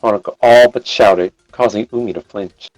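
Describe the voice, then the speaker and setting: A man's voice: on the low side, normal speed, darkish tone, dry recording, faint music behind, low-quality recording. A man reading a story aloud as live narrator, sometimes stumbling and rereading phrases.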